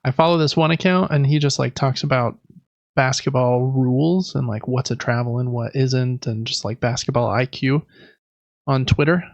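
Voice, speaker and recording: Male podcast co-host, easygoing and chatty, gravelly fry in the voice, dry and slightly lo-fi sound. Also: mid-range voice, normal speed, dry recording. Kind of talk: casual chat.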